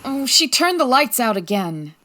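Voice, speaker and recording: A woman playing a sassy, sharp female private detective in a comedic 1940s-style radio drama, with wry, teasing delivery. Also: on the high side, sing-song, normal speed. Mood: excited, curious. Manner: wry, teasing, dry quips.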